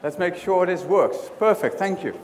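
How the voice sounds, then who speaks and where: A man's voice: sits high, normal speed. A middle-aged man with a Dutch accent giving a conversational keynote talk, often restarting phrases and asking the audience questions. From Netherlands. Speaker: conference speaker. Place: large hotel conference hall. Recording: hall reverb.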